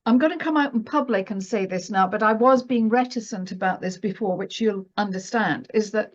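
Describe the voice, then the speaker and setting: A woman's voice: mid-range voice, a little fast. A woman speaking as an expert guest in a conversational interview, emphatic and often checking in with the listener. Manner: emphatic, checks in with "right?" and "you know". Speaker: guest astrologer and market analyst. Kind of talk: conversational interview discussion of financial astrology. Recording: dull, lo-fi audio.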